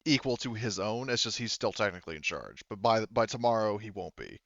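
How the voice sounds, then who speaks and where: A man's voice: on the low side, expressive, brisk, vocal fry, a bit bright, dry recording. A man explaining things casually as a tabletop game master, in a rambling way, on a slightly dull close mic.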